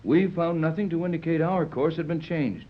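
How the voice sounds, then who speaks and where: An actor playing a steady, plain-spoken yacht captain in a 1930s radio adventure serial. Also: male voice, fairly high pitch, close to an everyday voice. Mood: grave, explaining. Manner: measured, plain-spoken, reluctant to alarm. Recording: muffled old 1930s radio recording.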